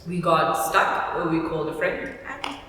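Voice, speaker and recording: A woman's voice: low voice, level tone, normal speed, sharp tone. A woman hosting a casual chat in a playful, teasing, self-deprecating way. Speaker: vlog host. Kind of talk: casual chat to camera and guest. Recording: distant echoey room, faint noise.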